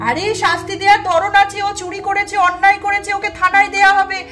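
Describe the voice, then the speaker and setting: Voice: extremely high pitch, little pitch movement, quick pace, over music. A woman voicing a flustered but fair-minded village mother in a doll story. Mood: firm, kindly.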